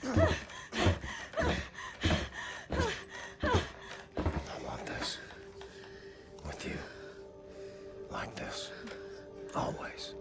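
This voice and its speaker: An actor playing a weary, gruff American soldier in a video game, laconic and murmuring in a hushed, gravelly voice. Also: male voice, extremely slow. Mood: tender. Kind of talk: video game cutscene acting. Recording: dramatic music behind the voice.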